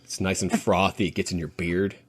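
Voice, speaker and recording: Very deep-voiced man in casual banter. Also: little pitch movement, fairly quick, a little hiss or hum. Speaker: podcast panelist and co-host. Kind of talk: casual playful panel chat.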